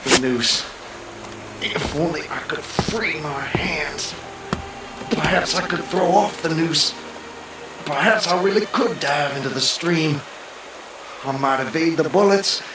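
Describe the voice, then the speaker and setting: A man plays a despairing condemned husband in a radio drama. His high voice comes slowly and haltingly, echoing and distant. Loud rain and thunder noise surrounds his melodramatic delivery. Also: natural voice. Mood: desperate, scheming. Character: anguished condemned husband facing the noose. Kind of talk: theatrical old-time radio monologue.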